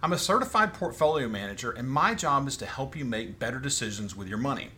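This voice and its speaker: A man reading an advertisement as an upbeat sales pitch. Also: lively intonation, quick pace, pushed and bright, a little hiss or hum. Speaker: ad spokesman. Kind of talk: read advertisement.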